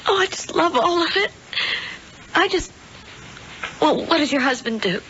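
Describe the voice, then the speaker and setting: A woman's voice: high voice, lively intonation, measured pace, sharp tone, exaggerated voice. An actress playing a naive, polite, sheltered eighteen-year-old small-town girl, earnest and hesitant, in a 1970s radio drama. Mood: delighted. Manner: wide-eyed, earnest, hesitant. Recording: dull radio tape, faint noise.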